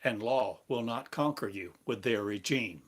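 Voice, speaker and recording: Slow, chanting male preacher. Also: lowish voice, slightly rough. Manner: chanting, incantatory, drawn-out phrases. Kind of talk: slow free-form spiritual sermon. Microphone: live stream device.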